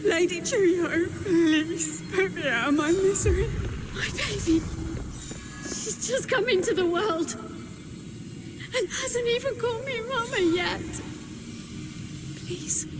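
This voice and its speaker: A woman playing a despairing, suffering young mother in a fantasy game, crying and pleading in halting phrases. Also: very high-pitched, background noise. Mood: desperate, tearful. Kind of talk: scripted fantasy game drama.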